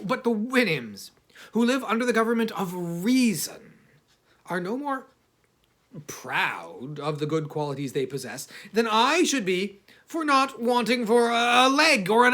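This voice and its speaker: Guy reading as a snooty older traveller who hates people, storybook memoir narration, really swoopy pitch, stop-start dramatic pauses. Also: bright, forceful tone, exaggerated voice. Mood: haughty, pompous. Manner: halting, theatrical, emphatic pauses. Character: haughty, misanthropic older male traveller narrating his memoir. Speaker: audiobook reader. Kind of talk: dramatic first-person literary narration.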